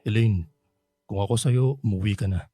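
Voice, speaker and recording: A Filipino man acting as a conflicted middle-aged lover and family friend, earnest and placating, with a muted husky voice in a radio drama. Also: on the low side, moderate pace, mellow, soft background music, natural voice. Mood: firm, resigned. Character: conflicted older boyfriend, an apologetic family friend.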